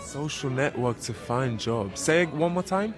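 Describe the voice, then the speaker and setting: A man's voice: mid-range voice, animated, moderate pace, music in the background. A man interviewing people on the street, asking brief repeated questions. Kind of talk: short vox-pop questions.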